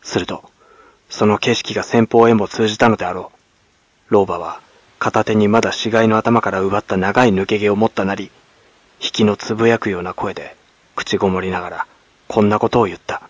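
A man from Kagoshima, Japan, narrating classic literature as a dramatic audiobook in a grave, measured manner. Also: on the low side, steady tone, breathy edge, no echo. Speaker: actor, narrator. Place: at home, close and dry.

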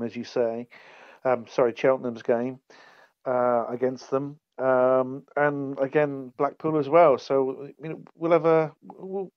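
Man, podcast guest, flat even delivery, smooth voice, dull remote audio. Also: medium pitch, no room sound. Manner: measured, level, filled with "um"s. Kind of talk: casual football podcast chat.

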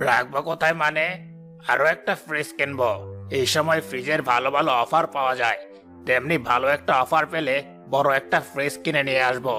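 A man's voice: mid-range voice, steady tone, fairly quick, pushed and bright, natural voice. A man playing a boastful, competitive village chief and husband, self-important yet reassuring, in a cartoon. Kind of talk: cartoon dialogue. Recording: music behind the voice.

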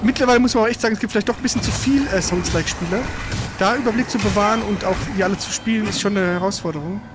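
A man giving casual, slangy let's play commentary while he plays, reacting out loud to the game. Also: sits high, racing, over music. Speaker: let's player.

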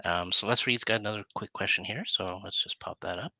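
A middle-aged man hosts a casual live interview. His voice is a bit deep yet very bright and sharp-edged. He chats with hesitant phrasing into a headset, with a dry, close sound. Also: brisk, no room sound. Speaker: talk show host. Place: plain room, indoors.

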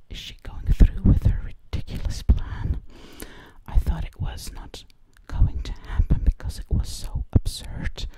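Slow frightened ASMR whisperer. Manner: repeats single words over and over in a whisper; frightened, breathless questions to themselves.

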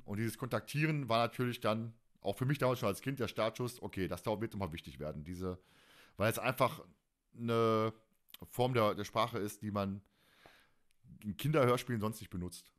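A man in his forties from Germany chatting casually as a podcast host, in an animated, rambling, colloquial way. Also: mid-range voice, moderate pace. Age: 40s. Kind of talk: casual chat.

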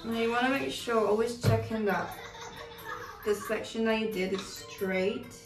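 A woman's voice: on the high side, fairly even intonation, a little slow. A young woman explains a toddler hairstyle in a chatty, instructive way, often correcting herself mid-sentence. She is recorded from a distant camera in her living room. The audio sounds roomy, with music playing underneath. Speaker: mother doing her toddler's hair. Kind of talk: casual hair tutorial. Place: living room with Christmas decor. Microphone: far camera.

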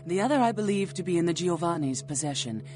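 A woman's voice: fairly low pitch, lively intonation, quick pace, dark tone, soft background music, natural voice. A woman playing a morbid, scholarly vampire in a video game, speaking in formal, solemn, archaic phrases with cold philosophy. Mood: measured, scholarly. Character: morbid scholarly female vampire flesh-eater, coldly philosophical. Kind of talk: scripted video game dialogue.

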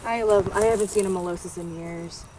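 A woman's voice: mid-range voice, steady tone, a little fast, warm tone, far from the mic. A woman chatting casually in the field, effusive and exclamatory. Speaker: field herper. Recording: noisy outdoor field recording.

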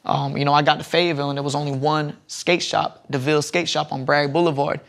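Young man telling stories, gravelly. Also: a little high, flat delivery, fast, fairly bright tone. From Southern United States. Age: young adult. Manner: rambling storytelling with restarts. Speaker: podcast guest. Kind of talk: casual interview chat. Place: studio lounge set. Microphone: lapel mic.

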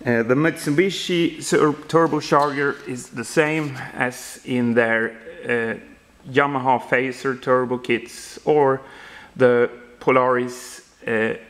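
Slow, halting Swedish-accented man. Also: medium pitch, fairly even intonation, a bit bright. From Sweden. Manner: halting, searching for words. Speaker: home builder presenting his project. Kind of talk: informal walkaround explanation. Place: indoor workshop garage.

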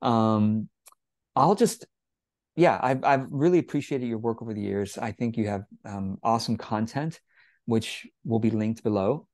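Guy hosting an interview over video, lowish voice, dry close sound, eager and warm. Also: measured pace, dry recording. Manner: warm, enthusiastic, gestures a lot while talking. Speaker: host. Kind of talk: casual interview on a video call. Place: home room, indoors. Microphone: earphone mic on a video call.

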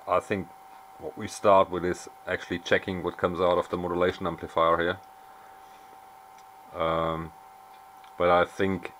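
Very deep-voiced man, noisy workshop recording. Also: a bit bright. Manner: matter-of-fact, thinking aloud. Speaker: amateur radio repairer presenting. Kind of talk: unscripted hands-on technical walkthrough. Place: small indoor workshop at an electronics bench. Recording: noisy camera mic in workshop.

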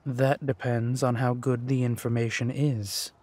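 A man playing a vengeful, ruthless villain in an audio drama, with a husky voice and a deliberate, menacing, mocking delivery. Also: mid-range voice, little pitch movement, a little mellow, natural voice. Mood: cool menace.

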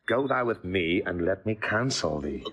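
A man's voice: low voice, expressive, normal speed, a bit bright, breathy edge, exaggerated voice. A scolding, emphatic nobleman. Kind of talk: stage-play acting.

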